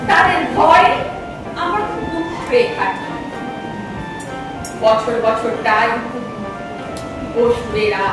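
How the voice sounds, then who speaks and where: A woman giving a dramatic poetry recitation in measured, declamatory phrases with pauses. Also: on the high side. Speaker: recitation performer. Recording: very echoey, distant mic, music behind.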